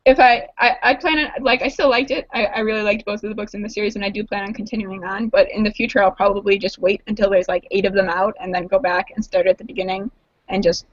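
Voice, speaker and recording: Female panelist, quick and talkative casual book chat; dry, slightly lo-fi sound. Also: medium pitch, fairly even intonation, dry recording. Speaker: panelist and awards judge. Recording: dull, dry online call audio.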